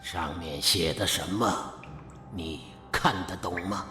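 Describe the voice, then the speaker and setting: A man playing a sinister old Daoist master in an audio drama, drawling, mocking and cruel, with a gravelly voice. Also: deep voice, takes it slowly, bright, forceful tone, heavily acted. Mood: suspicious. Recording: echoey reverberant cave effect, music behind.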